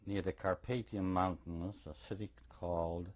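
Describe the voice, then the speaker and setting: An older man from eastern Czechoslovakia with an Eastern European accent, giving recorded testimony as an interviewee in a deliberate, anecdotal way. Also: deep voice, fairly even intonation. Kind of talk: recorded oral history testimony.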